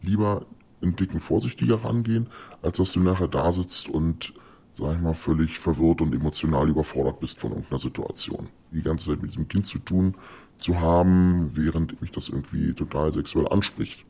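Deep, gravelly, disguised man. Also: fairly even intonation, brisk, dark tone. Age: early 30s. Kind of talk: documentary interview.

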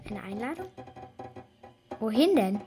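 Excitable high-voiced young girl, pleading and insistent. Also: a little slow, music in the background. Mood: impatient. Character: eager, scheming young girl devoted to her dog. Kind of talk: children's radio drama acting.

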